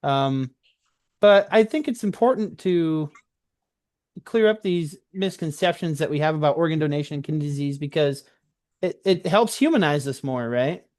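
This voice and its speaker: A man hosts a casual interview in a fairly high voice. He speaks earnestly about his own experience, repeating words for emphasis and seeking agreement. The sound is close and dry, with almost no room. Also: lively intonation, moderate pace, darkish tone, no room sound. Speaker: podcast host who shares own experience.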